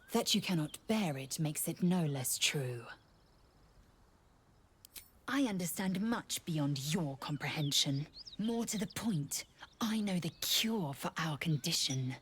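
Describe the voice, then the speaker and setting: A woman's voice: low voice, lively intonation, takes it slowly, breathy edge, heavily acted. A woman playing a severe young female alien warrior in a video game, with an imperious, disdainful, formal delivery. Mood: haughty, didactic. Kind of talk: scripted video game character acting.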